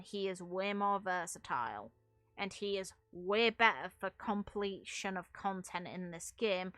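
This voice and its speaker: A woman's voice: mid-range voice, sharp tone, dry recording. A woman chatting casually on a livestream as a game streamer, giving her opinions in an emphatic, opinionated way. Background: music.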